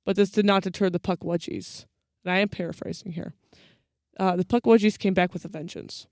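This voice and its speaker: A woman with a clear, smooth voice, recorded dry and close. She tells stories as casual chat, like a podcast co-host. Her retellings come in flippant everyday slang. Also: fairly low pitch, fairly even intonation, fairly quick, a little mellow, no room sound.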